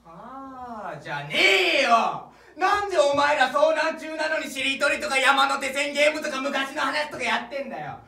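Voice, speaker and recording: A man playing an exasperated, panicky young hiker stranded in a mountain hut, shouting indignant retorts in a comedy. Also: very high voice, animated, moderate pace, vocal fry, few pauses, full character voice. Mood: panicky, angry. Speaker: comic straight man. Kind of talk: loud stage sketch comedy. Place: on a small indoor theatre stage. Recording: echoey small theatre, distant camera.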